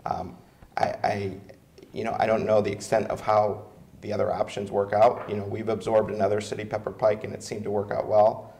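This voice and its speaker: An American man giving an informal, halting and tentative answer as a council candidate. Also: on the low side, flat delivery, vocal fry, a little mellow.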